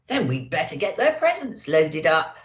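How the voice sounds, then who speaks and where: Woman putting on a booming, jolly old Santa. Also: very animated, moderate pace. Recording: roomy echo.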